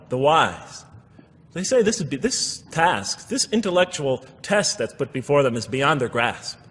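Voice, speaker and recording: Male religious lecturer, very animated intonation, emphatic repetition, explaining scripture, long hall reverb. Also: on the high side, slightly rough. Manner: emphatic, repeats phrases for stress.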